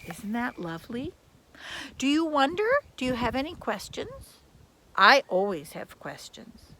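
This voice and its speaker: An older woman reading a children's story dramatically, with emphatic drawn-out words and chatty asides to her listeners. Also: a little high, very animated, bright, forceful tone, slight background noise, low-quality recording. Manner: theatrical, emphatic, drawn-out adjectives. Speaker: teacher reading stories to children.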